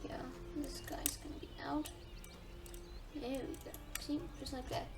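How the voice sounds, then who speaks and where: A woman's voice: sits high, level tone, unhurried, gravelly. A woman playing a strategy game, thinking aloud and chatting casually to her viewers about her moves. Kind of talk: casual let's-play commentary. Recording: distant mic with loud game music. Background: game music.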